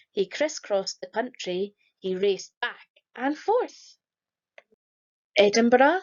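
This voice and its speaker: A woman reading a rhyming children's picture book aloud in a lively, sing-song storytelling manner. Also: a little high, highs cut off. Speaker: storybook reader.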